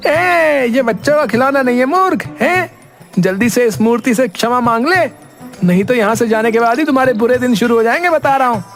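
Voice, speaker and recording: A man playing a cheeky, foolish village husband and petty swindler, animated and full of questioning interjections. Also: very high-pitched, fairly quick, creaky, faint music behind, heavily acted. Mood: indignant.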